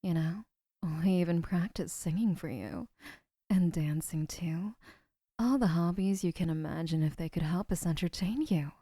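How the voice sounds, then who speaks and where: A woman playing a doting, obsessive young woman who keeps the listener, speaking in a soft, whispery, sweetly possessive way in an audio roleplay. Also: fairly low pitch, moderate pace, no echo, studio quality, lightly acted.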